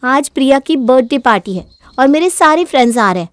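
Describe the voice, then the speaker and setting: Narrator putting on a brash, high-voiced young daughter. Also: female voice, lively intonation, brisk, dry recording. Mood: defiant. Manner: defiant, dismissive. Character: brash, selfish young woman talking back to her mother.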